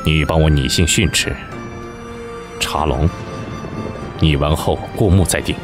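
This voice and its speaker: A man's voice: very deep voice, measured pace, music in the background. An actor playing a brooding, imperious king of ancient China in a curt, commanding way, in a costume audio drama.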